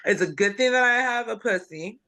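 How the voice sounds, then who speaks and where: A woman's voice: on the high side, animated, fairly quick, sharp tone. A woman with an American accent co-hosting a podcast in casual chat, slangy, playful and bawdy. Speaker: podcast co-host.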